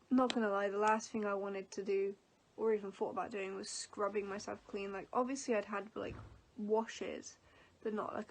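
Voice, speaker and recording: Young English woman, twenties, stuffy-nosed, chatty vlogger with filler-heavy, meandering delivery. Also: mid-range voice. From England. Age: 20s. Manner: rambling, many fillers and restarts. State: sniffly from allergies. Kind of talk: casual storytelling vlog.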